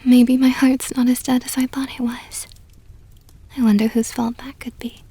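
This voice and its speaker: Breathy whispering vampire countess, played by a woman. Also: high-pitched, level tone, warm tone, no echo. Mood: soothing, affectionate. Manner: slow, coaxing, affectionate murmur. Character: possessive, doting female vampire countess, tender and teasing. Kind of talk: ASMR roleplay, intimate whispering.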